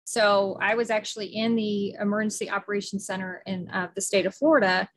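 A woman's voice: medium pitch, fairly even intonation, fairly quick. A middle-aged woman hosting a podcast interview, enthusiastic and talkative, with frequent fillers and restarts. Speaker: podcast host. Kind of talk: casual interview.

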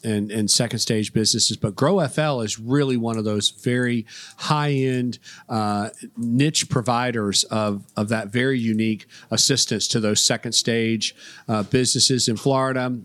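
A man's voice: medium pitch, normal speed, a bit bright, dry recording. A man hosting a business podcast, talking in a chatty way with many fillers. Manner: chatty, lots of uh fillers, bursts of speed. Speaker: podcast host. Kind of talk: casual interview.

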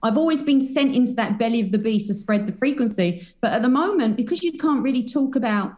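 A woman's voice: fairly high pitch, lively intonation, quick pace, a little mellow. A woman, a guest on a podcast, chatting in a bubbly, rambling way full of spiritual talk. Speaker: podcast guest, energy healer. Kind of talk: casual podcast chat.

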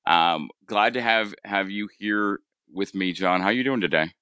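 Bright-voiced Rhode Island podcast host. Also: male voice, on the low side, clear, resonant tone, no room sound. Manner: halting, repeats words, hedging fillers. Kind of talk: casual podcast interview.

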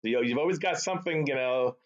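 A man's voice: medium pitch, quick pace. A middle-aged man from the Boston area with a Boston accent, Arabic his first language, chatting as a guest in an interview and often tagging on "right?". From Boston area, USA. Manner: animated, chatty, tag questions like "right?". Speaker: guest, sales executive. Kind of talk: casual storytelling interview. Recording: slightly dull lo-fi call audio.

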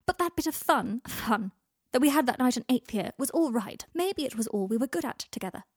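A proud young man's voice, cold and cutting, bursting into high, near-cracking yells of fury, as a woman reading aloud puts him on. He is pitched well up and loud, heard close and dry. The scorn never leaves his tone. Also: animated, brisk, husky, dry recording. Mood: seething.

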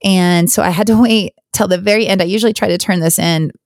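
A woman with a hoarse, gravelly voice hosts a solo podcast, chatting candidly and rambling a little. Her pitch sits low, and the recording is close and dry with no room sound. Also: quick pace, dry recording. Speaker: podcast host. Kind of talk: casual solo podcast chat.

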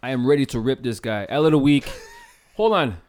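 A man's voice: medium pitch, expressive, brisk, a little mellow. A man co-hosting a casual podcast, playful and emphatic in banter. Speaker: podcast co-host.

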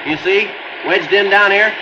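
An actor playing a police detective on a cliff ledge who gives short, clipped replies, in an old radio drama with surf behind him. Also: male voice, high voice, fairly even intonation, normal speed, noisy background, close to an everyday voice. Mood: tense, quiet. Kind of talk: 1950s radio drama acting. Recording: dull old radio transcription with crashing surf.

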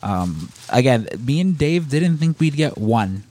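A man's voice: mid-range voice, very animated, unhurried, a little mellow. A man from the Chicago area with a Chicago accent, co-hosting a podcast in casual, rambling, joking chat. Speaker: podcast co-host.

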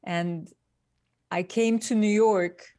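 Woman, from Turkey, interview guest, unhurried, thoughtful, remote call. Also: mid-range voice, steady tone, warm tone, no room sound, studio quality. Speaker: guest designer. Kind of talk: casual interview talk.

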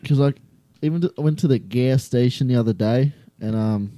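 Young Australian man, relaxed mumbly chatter, mellow voice, dry mic. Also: medium pitch, a little fast, dry recording. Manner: laid-back, mumbly, easygoing slang. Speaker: guest skydiver. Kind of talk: casual chat.

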